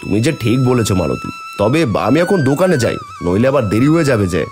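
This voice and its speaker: A man playing an honest, kindly village sari seller and family man, gentle and earnest, in a moral-tale cartoon. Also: mid-range voice, very animated, fast talker, over music. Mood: fond, reasoning.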